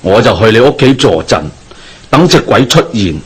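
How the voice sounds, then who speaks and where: A man with a Hong Kong Cantonese accent playing a calm, authoritative Taoist exorcist master in a radio drama, speaking in a measured, knowing, formal way. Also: medium pitch, breathy edge. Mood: assured.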